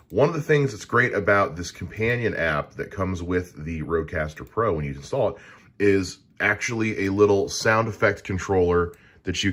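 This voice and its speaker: Man, very deep voice, relaxed explainer, podcast host, home studio, close dynamic mic. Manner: relaxed and explanatory, with frequent "uh" fillers. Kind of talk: casual gear unboxing walkthrough. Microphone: dynamic broadcast studio mic.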